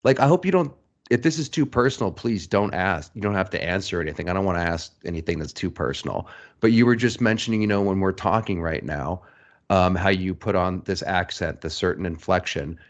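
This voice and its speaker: A man's voice: sits low, animated, a little fast. A man hosting a podcast interview, asking questions in a hesitant, apologetic way. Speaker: podcast host. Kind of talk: casual interview talk.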